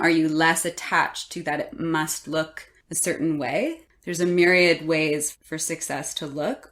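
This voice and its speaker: A woman speaks as a podcast host in a casual interview. Her voice is a little low, and she wanders through long, exploratory questions.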